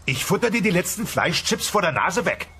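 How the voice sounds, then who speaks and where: A man's voice: high-pitched, very animated, fairly quick, sharp tone, breathy edge, full character voice. A man playing a blustering, lazy middle-aged dinosaur father with a brash, slangy manner in a comedic audio play. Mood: bemused, teasing. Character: blustering, lazy TV-loving dinosaur father, mocking son-in-law.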